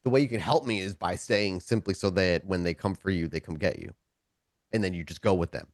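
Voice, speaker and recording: A man playing a nervous, well-meaning ordinary human in a tabletop game, talking casually in a halting, stammering way. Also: low-pitched, no echo, studio quality. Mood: uneasy, coaxing.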